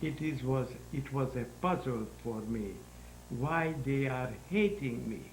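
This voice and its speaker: A man in his nineties from Hungary, speaking in halting, searching sentences as an interview guest. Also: medium pitch. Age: 90s. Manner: halting, searching for words, non-native grammar. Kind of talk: interview answers. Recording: roomy echo with faint hum.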